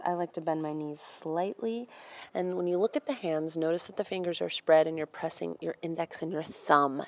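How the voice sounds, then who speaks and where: Young woman doing yoga instructions, deep-ish for a woman, lots of vocal fry, gentle n unhurried, chatty cues. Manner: gentle, unhurried, conversational cueing. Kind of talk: guided yoga class instruction.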